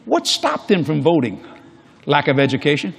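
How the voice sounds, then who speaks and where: A man's voice: a little high, huge pitch swings, normal speed, vocal fry, slightly rough. An older man preaching a sermon on a large church stage before a congregation, folksy and jokey, acting out quoted people in different voices.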